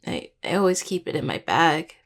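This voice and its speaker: A woman playing a grieving, tender young necromancer in an intimate audio roleplay, speaking haltingly and apologetically to a partner. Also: low-pitched, big pitch swings. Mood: surprised, wistful.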